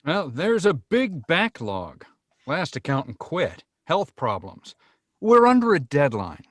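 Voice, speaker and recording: A man reads a seedy private-investigation boss in his fifties. The pitch is middling but swings about, hesitant and evasive like a reluctant salesman, in a dry screenplay reading. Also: huge pitch swings, slow pace, no echo, heavily acted. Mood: evasive, businesslike. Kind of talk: screenplay table read.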